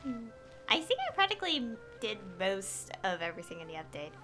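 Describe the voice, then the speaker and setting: A woman's voice: mid-range voice, sing-song, fairly quick, over music. A young woman streaming a game, chatting casually in a rambling, hesitant way. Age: young adult. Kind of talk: casual livestream commentary.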